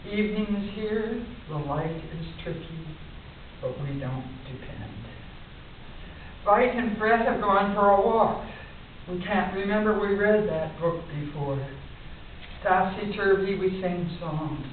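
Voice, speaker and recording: An older woman poet reads her work to an audience in a measured, deliberate way, with casual introductions, picked up by a distant microphone. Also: mid-range voice. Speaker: poet reading her own poems. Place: indoor room at a conference, speaking at a lectern. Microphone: distant camera microphone. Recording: muffled, hissy recording from far off in echoey room.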